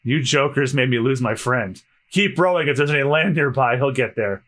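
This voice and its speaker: A man voicing a stern young swordsman who talks low and curt. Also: normal speed, a bit bright, lightly acted.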